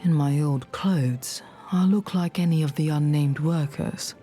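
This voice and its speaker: A woman's voice: sits low, a little slow, mellow, faint music behind. An actress playing a determined young woman rebel in a video game, earnest and subdued. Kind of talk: video game cutscene acting.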